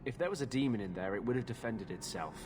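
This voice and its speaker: An actor playing a pragmatic companion in a fantasy video game, speaking in a dry, wry way. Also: male voice, on the low side, expressive, fast, natural voice. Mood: matter-of-fact. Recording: music behind.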